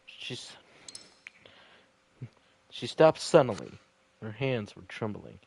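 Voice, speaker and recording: A man reading a visual novel aloud as dramatic narration, halting now and then over the text. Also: medium pitch, sing-song, vocal fry, background noise. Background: game music.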